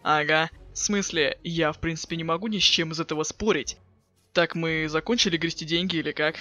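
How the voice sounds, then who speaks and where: A man voicing a cool, sarcastic teenage boy with offhand deadpan delivery in a webcomic dub. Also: fairly high pitch, a little fast, soft background music. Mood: sardonic. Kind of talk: fan dub of a webcomic chat.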